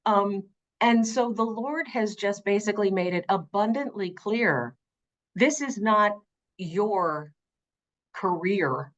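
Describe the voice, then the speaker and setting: A middle-aged woman speaking conversationally in an interview as an audiobook narrator, with earnest, expressive and emphatic phrasing. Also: medium pitch, slow, dull audio.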